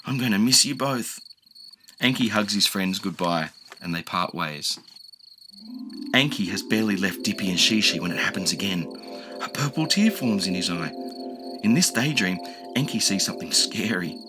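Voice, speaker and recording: A man narrating a children's audiobook in a gentle, expressive storytelling manner. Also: low-pitched, normal speed, music in the background. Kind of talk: children's audiobook read-aloud.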